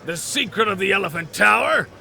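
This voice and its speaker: A man playing a boastful, lecherous fat rogue with a gravelly voice and bawdy, mocking bluster in a fantasy audio drama. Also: high-pitched, animated, brisk, bright, forceful tone, a little hiss or hum, full character voice. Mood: scornful mocking.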